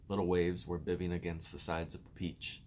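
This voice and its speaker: A man's voice: deep voice, level tone, moderate pace, a little mellow, slight background noise. A man who sounds like an encouraging teacher, chatting casually and reading a children's storybook aloud.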